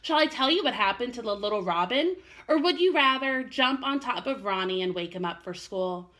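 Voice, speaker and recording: Mother raccoon for a storybook: female, loving, reassuring, bright raised voice acted by a woman reader. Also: a little high, lively intonation, moderate pace. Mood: concerned, gentle.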